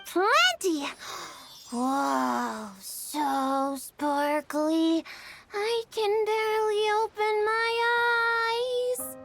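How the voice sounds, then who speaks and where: A small female fantasy creature with a very high, childlike voice that swings expressively. She is bubbly and earnest, a game character speaking over clear background music. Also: animated, drawn-out words, heavily acted. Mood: delighted. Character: small cheerful female Melusine creature, excitable gem hunter. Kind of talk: English video game character acting. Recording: game music playing behind the voice.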